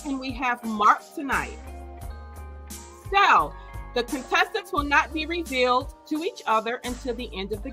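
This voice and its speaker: Bubbly high-voiced woman hosting. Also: pushed and bright. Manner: upbeat, bubbly, explains rules clearly. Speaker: game show host. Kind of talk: hosting an online dating game. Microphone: video call.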